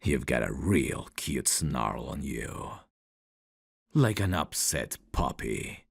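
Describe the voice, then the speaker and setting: A man acting a teasing, menacing, flirtatious mafia boss in an ASMR roleplay, with a smug, gravelly, intimate drawl. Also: a bass voice, very animated, slow pace, exaggerated voice. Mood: teasing menace.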